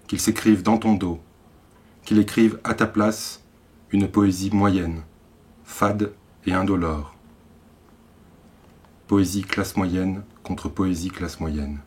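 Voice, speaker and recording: Low-voiced Frenchman reading poetry. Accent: French from France. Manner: measured, short phrases with deliberate pauses. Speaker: poet reading own work.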